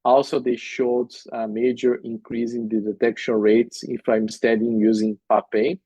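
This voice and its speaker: A man's voice: medium pitch, level tone, normal speed, mellow, slightly rough, no echo, lo-fi sound. A middle-aged man from Brazil with a Brazilian Portuguese accent, giving an earnest medical presentation in non-native phrasing with frequent "uh" fillers. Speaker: obstetric specialist presenting at a workshop. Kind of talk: conference presentation with slides.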